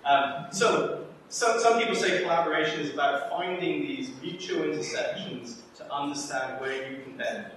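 Man, conference host, wry and chatty, slightly high voice, heard from far off in a very echoey room. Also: normal speed, fairly bright tone, slight background noise. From Scotland (Edinburgh). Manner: chatty and self-deprecating, many ums, wry asides. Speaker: institute director giving a workshop welcome talk. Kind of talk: conference opening talk. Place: large lecture room with a projector.

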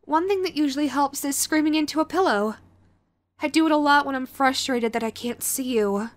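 Woman acting a high-voiced, clingy sweet yandere girlfriend. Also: a little fast, close to an everyday voice. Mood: remorseful, hesitant. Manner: cutesy, hesitant, gushing pet names. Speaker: voice actress. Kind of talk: intimate audio roleplay acting.

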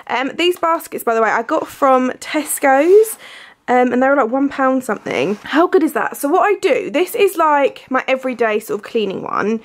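A British woman in her twenties chatting casually to camera in an informal, self-deprecating way, like a home vlogger. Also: high voice. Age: 20s. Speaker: cleaning and lifestyle vlogger. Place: indoors at home, living room and kitchen.

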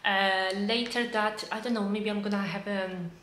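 A woman's voice: mid-range voice, steady tone, moderate pace, fairly bright tone, roomy sound, a little hiss or hum. A woman in her thirties from Poland with a Polish accent, chatting casually to camera at home with many fillers and restarts. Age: 30s. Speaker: vlogger.

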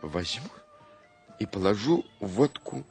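A man playing an excitable, scheming young stage actor in a theatrical, exclamatory story reading. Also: fairly low pitch, vocal fry, heavily acted. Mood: scornful, scheming. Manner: theatrical, exclamatory, drawn-out musing. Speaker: audiobook performer. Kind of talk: theatrical dramatized reading of a classic short story. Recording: music behind the voice.

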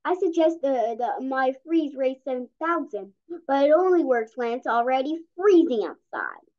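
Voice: sits high, very animated, normal speed. A child reading a children's comic aloud as storytelling, stumbling over words now and then. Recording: dull, lo-fi audio.

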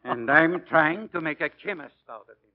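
An actor playing a demanding, brusque priest and science professor in a 1940s radio drama. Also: male voice, fairly high pitch, expressive, normal speed, natural voice. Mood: exasperated. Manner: emphatic, impatient, gruffly mocking. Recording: dull 1940 radio recording.

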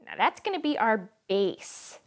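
Woman doing a bubbly TV cooking host, sing-song, high and lively, home kitchen. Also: a little slow. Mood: perky. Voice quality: bubbly sing-song delivery with sudden hushed asides. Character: chirpy female cooking-show host Karen, perky and wacky. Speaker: comedic cooking show host. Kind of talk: parody cooking show, scripted comedy.

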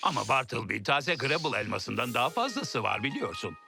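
A creaky, grandiose cartoon ruler. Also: male voice, medium pitch, big pitch swings, brisk. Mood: grand, cheerful. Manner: grandiose, courteous announcer. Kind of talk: children's cartoon dub. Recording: music behind the dialogue.

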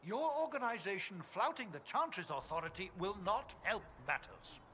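High, pompous churchman. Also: very animated, moderate pace, fairly bright tone, slight background noise. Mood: indignant. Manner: haughty, sneering, declamatory. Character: pompous, indignant fantasy church chancellor. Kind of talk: video game dialogue acting.

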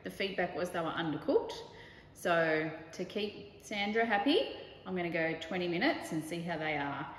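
Woman, thirties, relaxed cooking chat, roomy kitchen echo, mic a few steps away. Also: on the low side, normal speed, recorded from a distance, slight background noise. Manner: chatty, relaxed, talks while working. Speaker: cooking show host. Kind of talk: casual cooking demonstration. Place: large modern home kitchen. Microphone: camera mic from a few metres.

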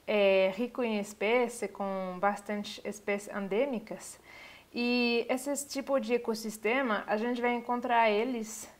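A woman, giving an online university lecture over slides, pausing often with fillers as she searches for words. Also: a little high. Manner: hesitant, many filler pauses. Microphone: webcam on a video call.

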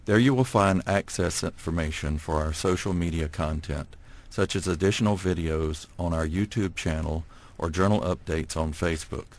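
Announcer, male, very low voice, formal scripted outro read. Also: moderate pace. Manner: formal, even, reading. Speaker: journal announcer.

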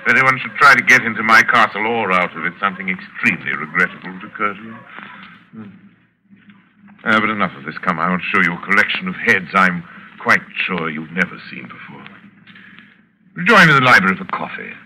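An actor playing a cultured, sinister general who hunts men, gloating with mock courtesy in theatrical old radio style. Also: male voice, sits low, animated, a little fast, pushed and bright, a little hiss or hum, heavily acted, rough recording. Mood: courteous, menacing. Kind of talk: 1940s radio acting. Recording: old radio drama recording.

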